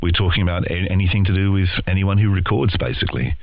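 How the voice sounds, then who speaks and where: A man's voice: low-pitched, lively intonation, fast talker. An Australian man talking casually in an interview, telling things in a rambling, informal way. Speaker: interview guest, podcast co-host in voiceover and audio. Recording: dull lo-fi radio audio, loud noise, clicks.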